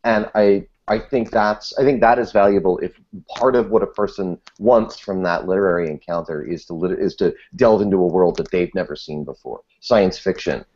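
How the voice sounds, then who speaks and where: Very deep-voiced man in casual discussion. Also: moderate pace, a little mellow, muffled recording. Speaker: podcast guest. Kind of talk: casual discussion of fiction writing.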